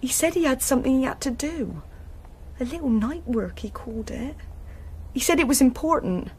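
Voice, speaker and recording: A woman's voice: high voice, animated, moderate pace, slightly rough. An actress playing a young woman hairdresser, plain-spoken and distraught after her boyfriend's murder, in a radio drama. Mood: grieving. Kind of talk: BBC radio drama acting.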